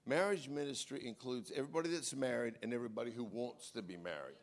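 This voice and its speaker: A man leads a church service as pastor, with a rough, gravelly grain in his voice. He preaches in an emphatic way, swinging from low asides to loud, punchy lines. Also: fast. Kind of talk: sermon with interview on stage.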